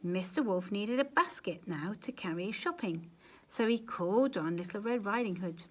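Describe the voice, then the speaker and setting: A woman storyteller reads a picture book aloud for children. Her delivery is expressive and dramatic, with very lively pitch at a middling height. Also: normal speed. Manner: animated, dramatic emphasis, quiet asides to young listeners. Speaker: storyteller, teacher.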